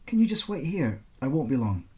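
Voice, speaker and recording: A man's voice: medium pitch, sing-song, fairly quick, dark tone, pitched above the performer's own voice, lightly acted. A man voicing a wary, anxious mother on the run in a novel reading, guarded and feigning indifference. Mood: firm, reassuring.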